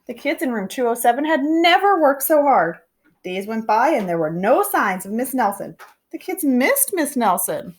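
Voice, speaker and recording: A woman reading a children's picture book aloud in an expressive narrating style. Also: a little high, normal speed. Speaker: storybook reader.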